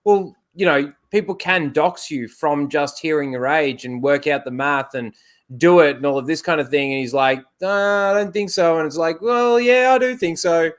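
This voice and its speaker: A man hosting a casual panel chat, retelling an exchange in an animated, indignant way. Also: a little high, normal speed. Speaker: host.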